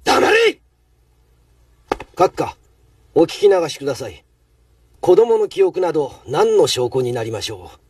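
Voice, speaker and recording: A man playing an arrogant, villainous master chef in an anime, husky and haughty, formal but full of contempt. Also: a little high, huge pitch swings, a little slow, long pauses, no echo, clean studio sound, far from the mic, full character voice. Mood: furious. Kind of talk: Japanese anime acting.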